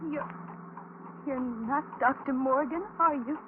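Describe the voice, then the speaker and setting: Scared young waitress, high anxious voice, 1940s radio thriller, tinny noisy old recording. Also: female voice, slow pace. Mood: pleading. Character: frightened young waitress held hostage, pleading and telling her ordeal. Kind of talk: 1940s radio suspense acting, dramatic narration.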